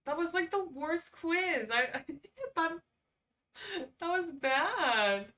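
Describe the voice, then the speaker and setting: Bright-voiced, slow-paced woman streamer, slightly distant. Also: very high voice, lively intonation. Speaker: livestream host. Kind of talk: casual livestream chat, reading quizzes aloud.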